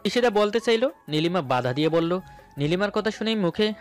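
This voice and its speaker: A man's voice: high-pitched, expressive, fairly quick. A man narrating a romantic story dramatically in the first person, as its male lead. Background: background music.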